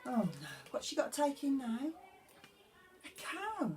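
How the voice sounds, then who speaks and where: A woman reads a picture story to young children as a nursery storyteller. Her voice is high and swoops widely, with an unhurried overall delivery and questions for the listener. She sounds a little way from the microphone. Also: recorded from a distance, faint music behind. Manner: expressive, asking the listener questions. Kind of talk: animated picture-book reading for young children.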